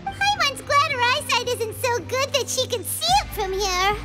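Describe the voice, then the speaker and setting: A tiny floating fairy sidekick from a video game, with an extremely high, squeaky child's voice. She is excitable and curious, swinging wildly in pitch as she chirps and exclaims over loud music. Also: moderate pace. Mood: excited, indignant. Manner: chirpy, exclamatory, refers to self in third person.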